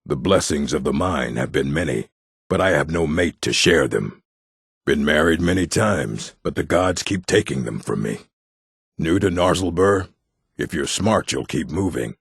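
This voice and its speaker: An actor playing a gruff adult male orc from a fantasy stronghold in a video game, with a gravelly, husky voice and a blunt, weighty delivery. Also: a bass voice, lively intonation, unhurried, dry recording, studio quality, full character voice. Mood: solemn, foreboding.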